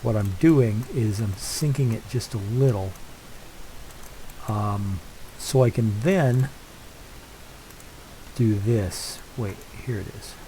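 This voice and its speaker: A man's voice: on the low side, slow, long pauses, warm tone, slight background noise, poor audio. An older man hosting a game let's play, chatting casually and congested from a head cold, rambling with lots of fillers and restarts. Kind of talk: casual gameplay commentary.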